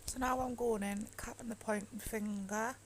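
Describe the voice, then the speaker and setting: A woman talking through a home nail tutorial in a casual voice-over, husky and half-whispering, as she is coming down with a cold and losing her voice. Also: fairly high pitch, steady tone, normal speed, a little hiss or hum. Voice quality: gravelly, often slips into whisper.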